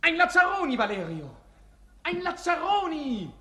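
An actor in a 1950s radio play voicing a bored, melancholy young prince who is dreamy and sardonic, by turns languid and mocking, then rapturously lyrical. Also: male voice, very high-pitched, very animated, moderate pace, bright, forceful tone, exaggerated voice.

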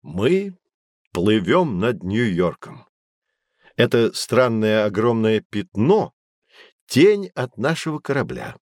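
An actor playing a knowledgeable man who guides children through an educational audio drama, explaining things in a clear didactic way. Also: lowish voice, sing-song, slow, a little mellow, dry recording, clean studio sound. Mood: patient, explanatory. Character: knowledgeable male teacher guiding children on adventures. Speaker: guide explaining history and technology.

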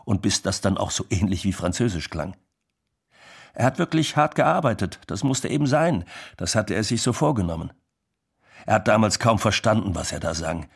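A record producer reminisces in a radio play. His voice is low and husky, and he talks in a matter-of-fact, conversational way. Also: animated, moderate pace, heavily acted. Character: matter-of-fact male record producer recalling a young singer. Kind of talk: radio drama monologue.

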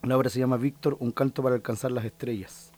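A man's voice: mid-range voice, steady tone, fast, darkish tone. A Chilean man in his thirties, a folk singer talking in a casual interview, hesitant and full of restarts. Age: 30s. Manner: hesitant, many restarts and fillers.

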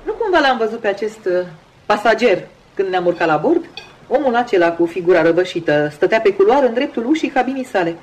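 A woman's voice: mid-range voice, lively intonation, a little mellow, husky, rough recording. An actress playing a chatty, nosy, bored society lady in a radio drama, with a theatrical, insistent manner. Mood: avidly curious. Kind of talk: Romanian radio theatre acting.

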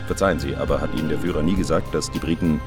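A man acting a polite, hesitant aide in a satirical radio drama, deferential and halting. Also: very deep voice, over music.

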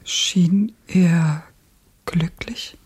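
Husky, grieving young woman revolutionary. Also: lowish voice, fairly even intonation, very slow, exaggerated voice. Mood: fervent, grieving. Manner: intense, solemn, probing, sometimes hushed. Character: grieving, fervent young female revolutionary, insistent and resolute. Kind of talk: dramatic radio play acting.

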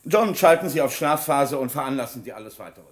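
An actor playing a clinical, authoritative scientist in a science fiction radio play, matter-of-fact in manner. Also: male voice, mid-range voice, expressive, a little fast, close to an everyday voice.

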